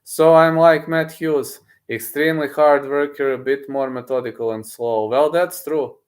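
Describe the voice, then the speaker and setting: A man's voice: on the high side, normal speed. Boastful male streamer. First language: Serbian. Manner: boastful, excitable, repeats himself. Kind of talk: casual livestream chat, reading messages aloud.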